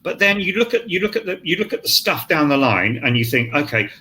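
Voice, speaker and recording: A man's voice: on the high side, very animated, fairly quick, pushed and bright. An older man talking casually on a writers' panel, blunt, emphatic and rambling, with some swearing. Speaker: scriptwriter on a panel. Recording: clear background noise, live online event.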